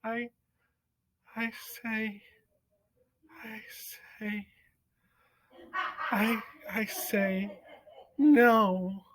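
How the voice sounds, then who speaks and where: A man voicing a small young male mouse who pleads in a high, stammering voice. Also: fairly even intonation, takes it slowly, vocal fry, pushed and bright, heavily acted, rough recording. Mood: pleading. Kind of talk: audiobook character reading.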